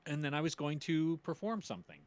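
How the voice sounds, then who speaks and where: A man's voice: fairly high pitch, very animated, fairly quick. A man co-hosting a live stream, explaining things casually and a bit hesitantly. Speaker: stream co-host and performer.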